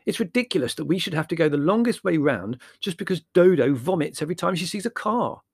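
A man's voice: medium pitch, sing-song, brisk, vocal fry, no room sound, clean studio sound. A man voicing an exasperated, sarcastic and argumentative young man in a storybook, indignant and emphatic.

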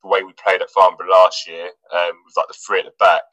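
A man's voice: low voice, fast talker, no room sound, poor audio. A man, a footballer from England, talking casually in an interview with lots of fillers and restarts. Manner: relaxed, hesitant, many fillers and restarts. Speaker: footballer guest.

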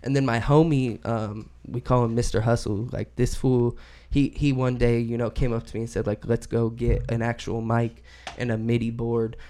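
Young man, podcast guest, relaxed slangy storytelling, middle pitch, easy laughs. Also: normal speed, hardly any pauses. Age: young adult. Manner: laid-back slangy storytelling, laughs often. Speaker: guest artist. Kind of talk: casual podcast interview.

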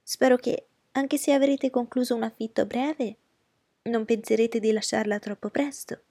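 A woman voicing a fussy, boastful middle-aged mother who speaks in a high, effusive way, with a gravelly, husky voice. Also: steady tone, moderate pace, warm tone, no echo. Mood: gushing. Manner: shrill and effusive.

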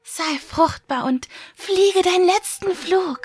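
A woman acts out a fanatical cultist woman from a horror audio story, extremely high and slow. She commands with gleeful, trembling reverence, like a solemn chant. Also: faint music behind. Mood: ecstatic, commanding. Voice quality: trembling with lust.

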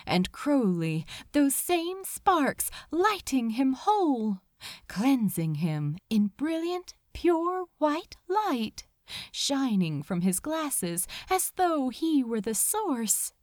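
A woman reading a story aloud as dramatic literary narration, emotive, with drawn-out phrases and pauses. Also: sits high, big pitch swings, darkish tone, no room sound, studio quality. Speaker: audiobook reader of fan fiction.

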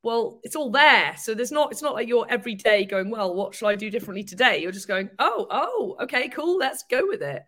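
A woman's voice: on the high side, sing-song, brisk, hardly any pauses, fairly bright tone. A woman hosting a podcast interview, reflecting thoughtfully on her guest's story and often ending with tag questions. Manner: thoughtful, affirming, muses aloud with tag questions. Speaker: podcast host.

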